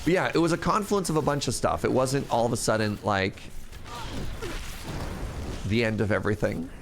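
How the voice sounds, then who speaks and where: A man's voice: medium pitch, normal speed, background noise. A man streaming a game, chatting casually and rambling between laughs, sounding sniffly and congested. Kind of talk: casual live-stream chat while gaming.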